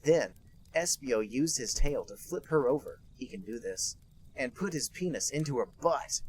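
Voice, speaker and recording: A man co-hosting a comedy podcast, reading a fanfic aloud in a deadpan way while holding back laughter. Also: medium pitch, normal speed, husky, poor audio.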